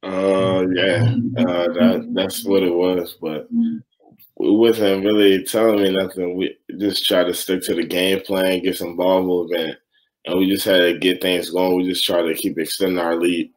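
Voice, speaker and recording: Low, flat-voiced young man. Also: measured pace, rough recording. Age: young adult. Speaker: college basketball player. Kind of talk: interview answers.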